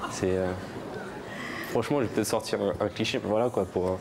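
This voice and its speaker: A young man with a cold, making a hesitant, halting love confession on a TV studio stage. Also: fairly low pitch. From Normandy. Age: young adult. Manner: hesitant, halting, many restarts and fillers. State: has a cold. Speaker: guest declaring his love. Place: large TV studio with audience. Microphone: lapel mic. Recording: studio with clear background noise.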